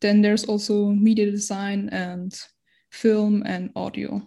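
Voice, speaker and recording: A woman's voice: mid-range voice, little pitch movement, slow pace, vocal fry, mellow. A woman in her twenties from Austria, speaking English as a non-native language as an interview guest, hesitant and searching for words. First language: not English. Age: 20s. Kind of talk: casual interview conversation.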